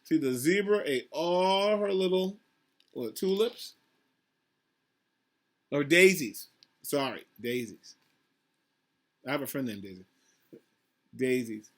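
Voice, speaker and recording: A man, a school principal, reading a picture book aloud to young children in a simple, instructive manner, pausing to ask questions. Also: a little high, expressive.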